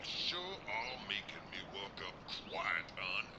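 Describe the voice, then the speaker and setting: A hungry Wendigo taunts its prey in a deep, gravelly drawl. Its words creep out very slowly, and its pitch swings widely between low rumbles and sudden rises. The radio-drama recording carries clear background noise. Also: male voice, a crowd in the background. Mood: gloating. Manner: slow, gloating menace. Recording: noisy audio drama recording.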